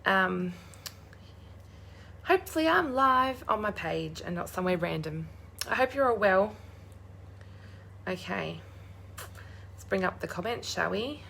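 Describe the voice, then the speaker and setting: A young woman with a hoarse, croaky voice chatting bubbly on a live stream, greeting viewers by name. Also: mid-range voice, animated, measured pace, pauses often, bright, forceful tone, slight background noise. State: hoarse, losing her voice. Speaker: crafting demonstrator hosting a live stream.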